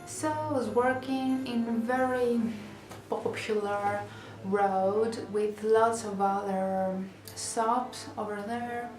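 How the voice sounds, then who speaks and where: A young woman with a Greek accent, chatting to camera in a casual vlog with many fillers and restarts. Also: on the high side. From Greece. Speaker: vlogger. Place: small bedroom indoors. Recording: roomy echo, music behind. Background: background music.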